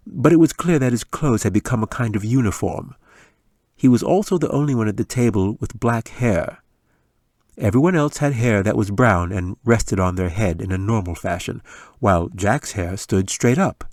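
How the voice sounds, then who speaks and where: A man's voice: lowish voice, darkish tone, dry recording, clean studio sound. A man reading a fantasy audiobook as a scripted story narration. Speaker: author reading own audiobook.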